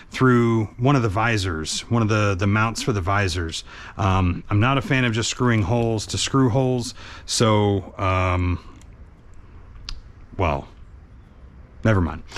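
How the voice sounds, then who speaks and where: A man's voice: medium pitch, slight background noise. A man giving a casual hands-on tutorial in a plainspoken way, thinking aloud as he works. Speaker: host and truck driver demonstrating an installation.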